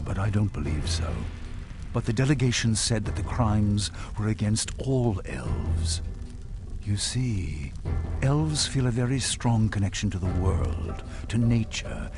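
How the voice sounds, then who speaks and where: Deep, gravelly old dwarf king. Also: expressive. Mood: thoughtful. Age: older. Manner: grave, measured, brooding, dramatic pauses. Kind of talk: dramatic video game dialogue. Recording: music under the voice.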